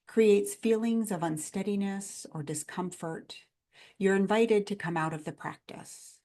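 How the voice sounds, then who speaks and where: A woman guiding a body scan meditation in a gentle, calm, instructional manner, in measured phrases with pauses between them. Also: lowish voice. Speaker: meditation guide.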